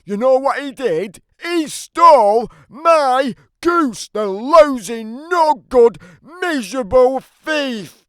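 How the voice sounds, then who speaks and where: A man's voice: very high voice, animated, takes it slowly, clean tone, dry recording, studio quality, heavily acted. A man voicing a big, grumpy, always-hungry giant who tells his side of a children's storybook in a theatrical, emphatic way. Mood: booming, angry. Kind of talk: scripted children's storybook narration.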